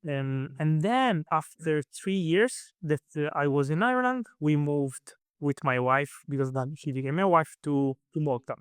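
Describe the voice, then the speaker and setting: A man's voice: on the high side, measured pace, hardly any pauses, clear, resonant tone, dry recording, studio quality. A man in his thirties from Italy with an Italian accent and Italian as his first language, answering interview questions casually with many fillers and restarts. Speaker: guest.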